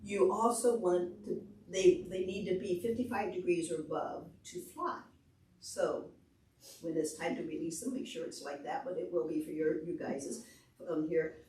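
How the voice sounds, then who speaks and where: A woman's voice: on the low side, moderate pace. Echoey, distant woman teaching small children. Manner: animated, demonstrative, simple explanations with questions. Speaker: visiting presenter at a children's library program. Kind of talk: interactive talk to preschoolers and parents. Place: large indoor library meeting room. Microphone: far camera. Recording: echoey room, distant camera microphone.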